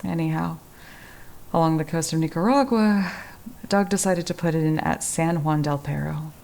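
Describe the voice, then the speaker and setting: Woman acting a creaky-voiced, genteel radio-drama widow. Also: low voice, a little mellow. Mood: nostalgic, composed. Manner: dramatic, halting. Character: genteel, anguished, subtly calculating widow in detective drama.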